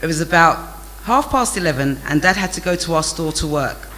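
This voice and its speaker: High-voiced man telling stories. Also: animated, a little fast, bright, forceful tone, a little hiss or hum, poor audio. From England. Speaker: storyteller reading a memoir. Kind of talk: narrated childhood memoir.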